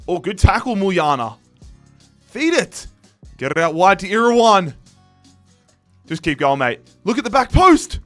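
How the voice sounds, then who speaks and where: A young man, commentating his football game live, shouting at chances, his voice going hoarse. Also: fairly high pitch. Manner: shouts and groans at every chance. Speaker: gaming YouTuber.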